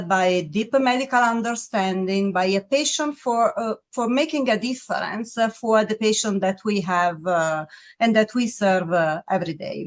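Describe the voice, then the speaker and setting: Italian-accented woman, measured with pauses. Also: on the high side. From Italy. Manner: measured, with frequent "uh" pauses and searching restarts. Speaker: pharmaceutical medical officer. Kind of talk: interview answers on medicine.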